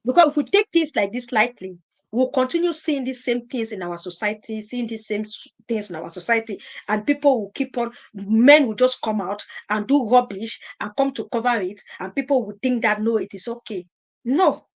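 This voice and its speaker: A woman's voice: a little high, moderate pace. A woman hosting a live stream, talking emphatically, repeating her points and firing rhetorical questions. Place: at home in Europe.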